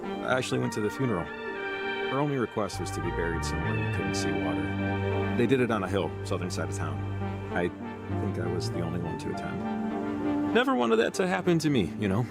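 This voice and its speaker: A man's voice: low voice, very animated, brisk, gravelly, long pauses, loud music behind the voice, heavily acted. A man playing a wry, talkative radio tinkerer in a video game, speaking casually and hesitantly in a rambling, natural way. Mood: subdued.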